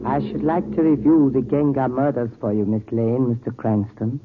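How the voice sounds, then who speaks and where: An actor in a 1940s radio drama playing a courteous Tibetan gem importer who tells tales in formal, measured, precise speech. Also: male voice, mid-range voice, fairly even intonation, background noise, lightly acted. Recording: tinny 1940s radio recording.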